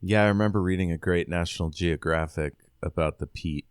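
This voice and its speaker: Man, deep smooth voice, podcast co-host, informal, conversational, recapping and quoting. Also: brisk, no echo, clean studio sound. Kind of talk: casual book discussion, recapping and quoting.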